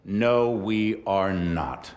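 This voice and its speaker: Slow, imperious arrogant corporate chief. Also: male voice, on the high side, expressive, a little hiss or hum. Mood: proud, composed. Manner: deliberate, measured, imperious. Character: arrogant, defiant male corporate chief executive addressing the world. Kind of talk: dramatic public speech.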